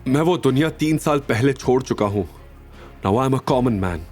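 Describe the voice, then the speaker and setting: Man acting proud, wounded husband, animated pitch. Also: unhurried, faint music behind. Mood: firm, restrained. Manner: emphatic, emotional, dramatic delivery. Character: wounded, proud young husband, intense and defiant. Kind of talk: Hindi audio drama acting.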